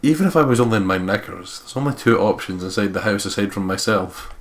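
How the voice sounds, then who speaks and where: A man's voice: on the low side, expressive, quick pace. A man hosting a let's play, reading visual novel narration aloud and breaking off into sweary, joking asides.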